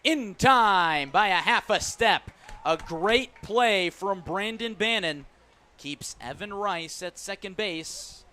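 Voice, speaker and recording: A man's voice: sits high, lively intonation, takes it slowly, sharp tone. A man calling baseball play-by-play live on the radio from a ballpark, punching up the big moments. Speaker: play-by-play announcer. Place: outdoor ballpark broadcast.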